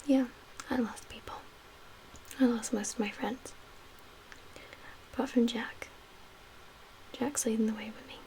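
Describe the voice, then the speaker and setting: A woman playing a calm, guarded survivor leader in a soft-spoken ASMR roleplay, half-whispering in a measured, reassuring way with pauses. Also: a little high, poor audio. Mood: weary, resolute. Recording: audible background noise.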